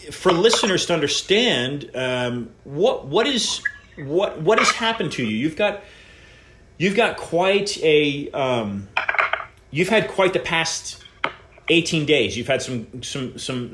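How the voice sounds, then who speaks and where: A man hosting a live call-in show, introducing and questioning a guest in a halting way, with many restarts. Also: on the high side, noisy background. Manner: halting, full of restarts and fillers. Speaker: podcast host.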